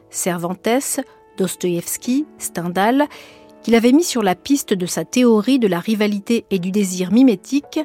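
A woman presenter reading a measured scripted introduction for a radio program. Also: mid-range voice, warm tone. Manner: measured, announcing. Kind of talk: scripted narration.

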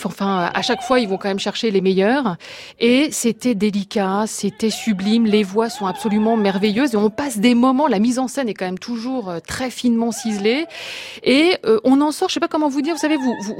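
A woman journalist talking as a guest in a radio studio. She speaks with enthusiasm and often hesitates, filling pauses and repeating words. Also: fairly high pitch, darkish tone. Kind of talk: casual radio chat. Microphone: studio microphone.

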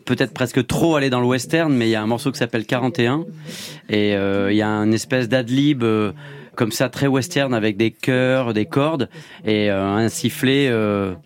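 Musician dude on the radio, thinking out loud, lots of pauses, average voice. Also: male voice, mid-range voice. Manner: thoughtful, with many fillers and pauses. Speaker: musician guest. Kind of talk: radio interview chat.

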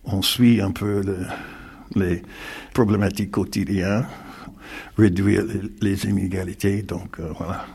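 An older retired man from North Carolina with an American accent, speaking haltingly in an interview with many fillers and restarts. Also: sits low, fairly even intonation, vocal fry, a little mellow, breathy edge. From United States, North Carolina. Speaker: interviewee, retired community mediator. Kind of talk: reflective interview talk.

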